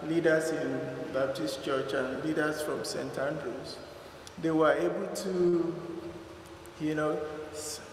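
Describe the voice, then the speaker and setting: A man with a Nigerian accent giving an unhurried, conversational reflection at a church service, with fillers as he remembers. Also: a little high. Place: large church hall. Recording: echoey church hall, faint noise.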